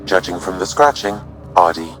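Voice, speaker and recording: A man voicing a calm, analytical AI assistant in a sci-fi game, with a measured, formal, factual delivery. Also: low voice, over music, rough recording. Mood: detached, factual. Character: calm, analytical artificial intelligence assistant, male. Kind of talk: video game voice acting.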